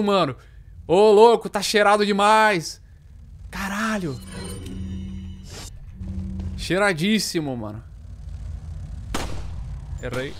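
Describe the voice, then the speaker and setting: Male streamer, thirties, slangy excitable banter, slightly high voice, faint game noise behind. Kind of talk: casual live gameplay commentary. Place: small indoor room.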